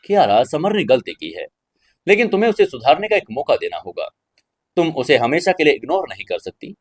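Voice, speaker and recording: A man's voice: fairly high pitch, sing-song, a little fast. A man voicing a middle-aged mother in an audio drama, coaxing and admonishing with worldly-wise insistence.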